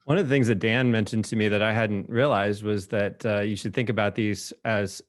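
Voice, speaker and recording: A man's voice: on the low side, steady tone, fairly quick. A man hosting a podcast interview in a casual, conversational way. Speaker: podcast host, magazine editor.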